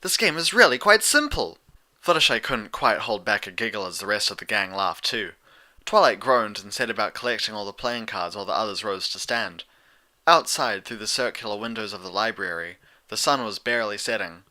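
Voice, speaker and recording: A man narrates fiction from a script. His voice sits a little low, with a very bright, forceful tone. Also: brisk. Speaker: fan fiction reader.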